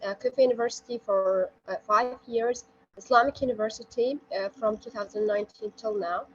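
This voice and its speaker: A woman's voice: a little high, lo-fi sound, slight background noise. A young woman from Iraq, a university lecturer, introducing herself hesitantly with frequent ums on a video call. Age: young adult.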